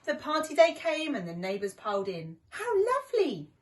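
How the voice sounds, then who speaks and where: A woman's voice: medium pitch, sing-song, brisk. A woman reading a children's rhyming story with dramatic, rhythmic expression. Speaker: deputy headteacher reading a story.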